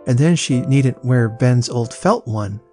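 A man reading aloud and voicing a poor, cheerful, kind-hearted little girl in a soft, earnest way. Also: medium pitch, expressive, unhurried, faint music behind, close to an everyday voice. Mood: eager. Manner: gentle, earnest, tender.

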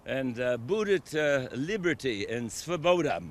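An American man, a passerby, answering a street interviewer in broken Russian mixed with English words, with many pauses. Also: medium pitch, big pitch swings. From United States. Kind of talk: street vox pop.

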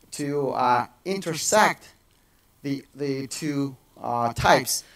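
A man's voice: a little high, recorded from a distance, low-quality recording. A man in his thirties giving a casual technical keynote on a large auditorium stage, with many fillers and restarts. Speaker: conference speaker.

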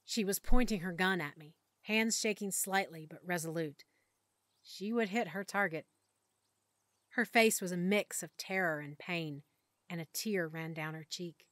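Low-voiced woman narrating an audiobook. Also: dry recording. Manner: measured, emotional, drops to a hush. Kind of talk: first-person scripted narration. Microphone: close studio mic.

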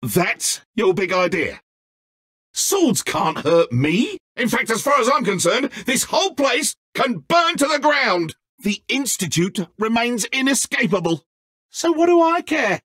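An actor playing a petulant, theatrical godlike video game villain, grandiose and mock-friendly with a sulky streak and a raspy edge. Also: male voice, very high-pitched, big pitch swings, a little slow, fairly bright tone, dry recording, studio quality, exaggerated voice. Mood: menacing, bitter. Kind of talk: theatrical game character acting.

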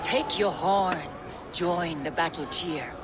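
Slow, hearty Viking warrior. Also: male voice, high-pitched, loud music behind the voice. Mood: rousing. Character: hearty Viking warrior urging comrade to revel. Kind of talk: dramatic video game acting.

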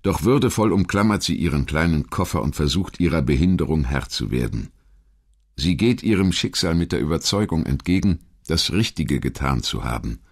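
A man reading scripted biographical narration in a measured, formal storytelling manner. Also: rumbling low, lively intonation, husky. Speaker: documentary narrator.

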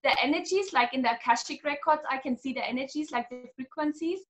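A high-pitched woman in her thirties, originally from Germany, speaking on a video call from a small home room. She talks animatedly as a guest explaining her healing work, often breaking into laughs. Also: quick pace, soft background music. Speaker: guest, energy healer. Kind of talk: casual video-call interview.

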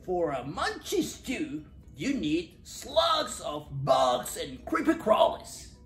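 Bristly storybook echidna, acted by a storyteller: bossy, raised pitch, insistent, roomy echo. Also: huge pitch swings, slow pace, fairly bright tone, faint music behind, heavily acted. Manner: insistent, exclaiming. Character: bossy, bristling echidna giving cooking advice.

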